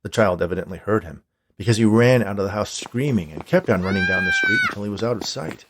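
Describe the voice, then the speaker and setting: A man's voice: on the low side, wildly swooping pitch, quick pace, heavy background noise. A man reading a scripted story as its narrator.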